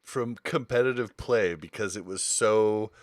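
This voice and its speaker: A man's voice: lowish voice, unhurried, no room sound, studio quality. A man hosting a casual gaming podcast, explaining things in an informal, rambling way. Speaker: podcast host.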